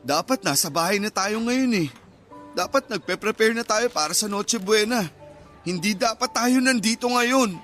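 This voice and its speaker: Man acting high-voiced, anguished father. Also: moderate pace, pushed and bright, soft background music. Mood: despairing. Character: anguished, guilt-ridden father, quick to anger. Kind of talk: radio drama acting.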